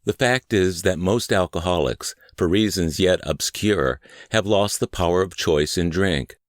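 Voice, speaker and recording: A man reading scripted audiobook narration in a measured, deliberate, clearly phrased way. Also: low voice, no room sound, clean studio sound. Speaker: audiobook and podcast reader.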